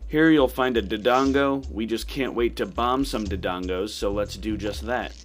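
A man's voice: on the low side, moderate pace. A man hosting a video game walkthrough in a casual, explanatory commentary style. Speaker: gamer, walkthrough host. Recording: voice-over with game music behind.

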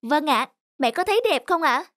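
A woman's voice: high voice, lively intonation, moderate pace, fairly bright tone. A woman voicing a timid, earnest young girl in a cartoon. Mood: shy. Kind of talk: animated cartoon dubbing.

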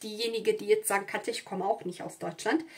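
A woman's voice: mid-range voice, steady tone, quick pace, a little mellow. Woman giving a conversational tarot reading. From southern Europe. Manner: conversational, often checking in with "ja". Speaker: tarot reader. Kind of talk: casual spoken card reading to viewers.

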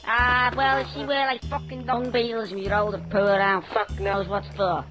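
A man's voice: high voice, flat delivery, normal speed, bright, forceful tone, soft background music, poor audio. A man voicing a crude, blunt dung beetle in a video game. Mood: casual, crude. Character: gruff, foul-mouthed male dung beetle.

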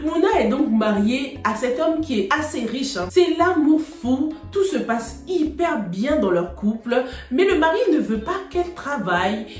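A woman's voice: high-pitched, lively intonation, unhurried, few pauses, echoey room, faint music behind. A woman in her thirties from Cameroon with a Cameroonian French accent, talking straight to her viewers in a chatty, emphatic review. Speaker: series reviewer. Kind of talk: casual video review. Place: small indoor room with bookshelves.

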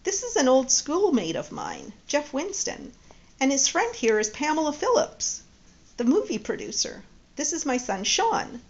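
Woman narrator doing a gushing middle-aged mom, pitched up a bit, lo-fi. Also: wildly swooping pitch, unhurried, full character voice. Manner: gushing, effusive. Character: warm chatty middle-aged woman, Judy. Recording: dull lo-fi audio.

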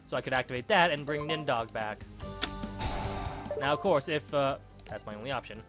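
A man's voice: mid-range voice, moderate pace, over music. A man casually commentating a card game as he plays, thinking aloud, often stopping and correcting himself. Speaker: gaming channel host. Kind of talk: let's play commentary.

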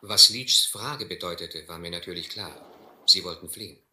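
A man playing an earnest gentleman who narrates his own ordeal at sea in a German radio play, measured and grave. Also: sits low. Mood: grave, reflective. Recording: roomy, faintly hissy old radio play.

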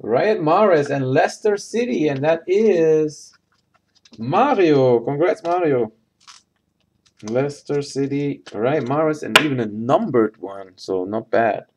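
A man giving casual, halting live commentary as he hosts a sports card box break, matter-of-fact in manner. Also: fairly high pitch, lively intonation, a little hiss or hum. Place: indoors at a tabletop.

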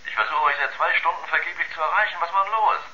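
An actor playing a worried, impatient astronaut in a radio drama, calling his crewmates with brisk insistence. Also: a little high, crowd noise, natural voice. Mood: insistent, worried. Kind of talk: 1970s German radio drama acting. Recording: dull, sharp radio-link sound.

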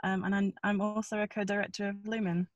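A woman artist and researcher introducing herself quickly on a video call, with restarts. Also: medium pitch, level tone, lo-fi sound.

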